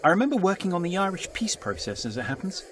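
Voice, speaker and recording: Man acting a high-voiced, puzzled sci-fi companion. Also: very animated, fast talker, a bit bright, a little hiss or hum. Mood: puzzled, curious. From Britain. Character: sceptical, puzzled British man, travelling companion. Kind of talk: full-cast audio drama acting.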